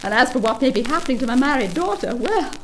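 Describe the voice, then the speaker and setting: A woman's voice: high voice, sing-song, fast talker, a little hiss or hum, voice pushed up. A woman voicing a conceited male toad who poses as a plaintive old washerwoman in a storybook reading. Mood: plaintive, fretful. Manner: plaintive, wheedling, boastful. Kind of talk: audiobook character acting.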